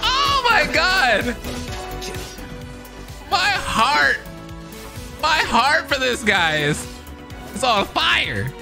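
A man's voice: very high-pitched, very animated, drawn-out words, vocal fry, long pauses. A young man doing hyped, slangy live reaction commentary as a streamer, full of exclamations. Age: young adult. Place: indoor room, streaming setup. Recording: game trailer music faintly behind.

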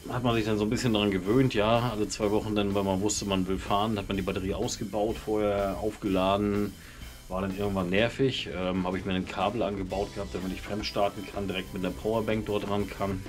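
A man's voice: lowish voice, little pitch movement, a little fast, faint music behind. Chatty German-speaking man in a garage. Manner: chatty, many ums and restarts. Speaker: motorcycle vlogger. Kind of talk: casual product review talk. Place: home garage beside a motorbike, door open.

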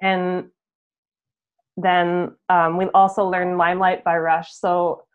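A young Canadian woman chatting casually as an interviewed musician, halting and peppering her talk with "like", on a video call. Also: lowish voice, flat delivery. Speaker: band musician being interviewed. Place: room at home. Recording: dull, lo-fi video call audio.

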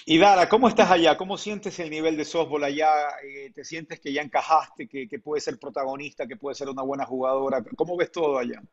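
A man's voice: fairly high pitch, fairly even intonation, a little fast, hardly any pauses, dull audio. Earnest man interviewing on a video call. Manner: earnest, long-winded questions. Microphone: earbuds on a video call.

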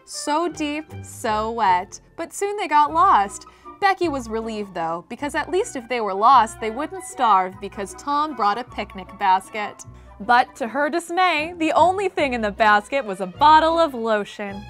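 High-voiced, irreverent American woman telling stories. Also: lively intonation, a little slow, fairly bright tone, soft background music. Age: 20s. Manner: irreverent, sardonic, sweary. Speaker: host reading stories. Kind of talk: comedic storybook narration.

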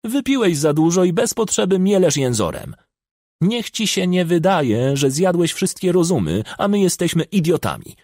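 Man acting a scolding old villager, high-pitched. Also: big pitch swings, normal speed, darkish tone, no echo, clean studio sound, full character voice. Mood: indignant. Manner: indignant, blunt, scolding. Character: grumpy, scolding villager in his seventies.

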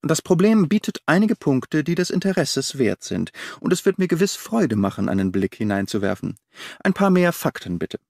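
Guy reading as a sharp detective thinking out loud, bouncy delivery, dry booth mic. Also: fairly high pitch, normal speed, gravelly, no room sound, exaggerated voice. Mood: curious. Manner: lively, reasoning aloud. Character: keen, sharp-witted male detective.

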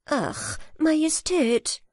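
A woman's voice: sits high, very animated, very slow, darkish tone, no room sound, clean studio sound, voice pushed up, heavily acted. A woman voicing an outraged common man in a fairy tale, earnestly complaining to his king. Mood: agitated.

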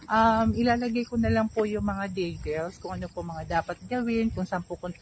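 A woman selling land in a casual, upbeat and persuasive pitch, walking outdoors on a rural dirt road. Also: fairly even intonation, normal speed, noisy background. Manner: chatty, upbeat, polite, persuasive. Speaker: real estate seller.

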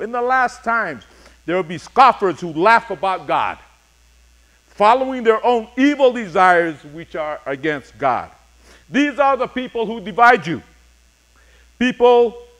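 Male pastor, middle-aged, slightly high voice, measured sermon delivery with deliberate pauses between phrases. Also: fairly bright tone, rough recording. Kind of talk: sermon with scripture readings. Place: church sanctuary, speaking from a pulpit on stage.

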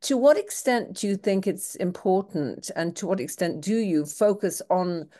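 An older woman on a video call from her home study, asking a question at a seminar in brisk, quick bursts. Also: mid-range voice, animated, mellow. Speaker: program director asking a question.

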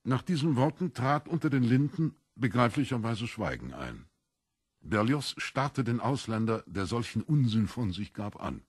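A man's voice: medium pitch, big pitch swings, moderate pace, slightly rough, no echo, studio quality. A man reading scripted literary narration for a German audio drama.

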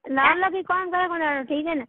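A woman's voice: extremely high pitch, moderate pace, a crowd in the background. A woman with a Marwari accent from western Rajasthan, talking back defiantly in an argumentative phone call. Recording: tinny recorded phone call.

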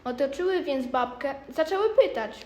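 High-voiced young girl narrator, echoey. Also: animated, moderate pace, over a noisy crowd. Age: child or young teen. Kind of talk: scripted storybook narration, amateur. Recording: echoey room, faint noise.

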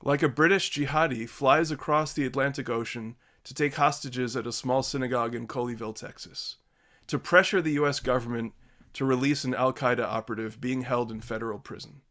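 A man in his thirties hosting a video call from a home study, giving measured, earnest opening remarks. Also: medium pitch, fairly even intonation, a bit bright. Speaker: host.

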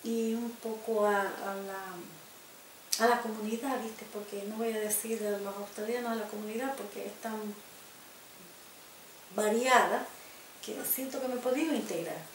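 A woman in her sixties from El Salvador with a Salvadoran Spanish accent, recounting her life in a living room interview, reflective and halting, often repeating her starts. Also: medium pitch, fairly even intonation. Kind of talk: personal life-story interview. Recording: roomy home video with faint hiss.

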